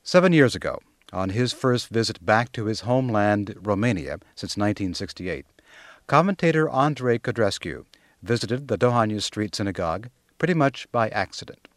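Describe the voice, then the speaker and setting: A male radio news presenter introduces the segment in a crisp, matter-of-fact way. His voice sits fairly low. The recording is dry and close. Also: animated, moderate pace, no room sound.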